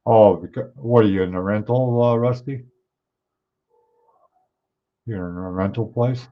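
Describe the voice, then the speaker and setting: Male livestream host, low voice gone a bit hoarse, chatty with his viewers, dull lo-fi stream audio. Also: unhurried. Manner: chatty, reads and answers viewer comments. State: hoarse, clearing his throat. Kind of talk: casual chat with live viewers.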